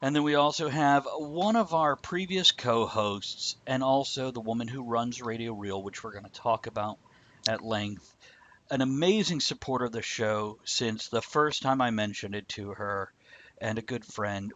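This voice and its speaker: A man's voice: mid-range voice, moderate pace, fairly bright tone, highs cut off. A man hosting a live-streamed video chat show, joking, laughing and chatting with viewers. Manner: jokey, laughs often, chats to viewers. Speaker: host. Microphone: video call.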